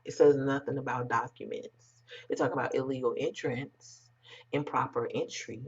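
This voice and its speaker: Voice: medium pitch, fairly even intonation, moderate pace, slightly rough. An American commentator with an androgynous voice, talking bluntly and emphatically in informal, unscripted remarks to online viewers. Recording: dull, muffled lo-fi sound.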